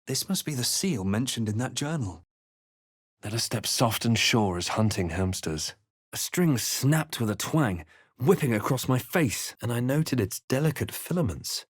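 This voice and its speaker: A brooding adventurer from a fantasy role-playing game. He recounts his quest with grave, measured theatricality in a medium-pitched male voice. The sound is close and dry. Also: sing-song, slightly rough, no room sound. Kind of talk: dramatic scripted game voice lines.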